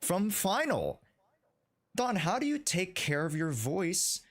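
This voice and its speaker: A host of a gaming stream interviewing a guest, relaxed and quick to laugh along. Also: medium pitch. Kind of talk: casual interview chat.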